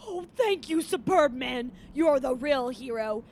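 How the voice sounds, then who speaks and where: A man putting on a gushing falsetto woman, gravelly. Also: animated, measured pace, a little hiss or hum, full character voice. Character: grateful falsetto woman bus passenger.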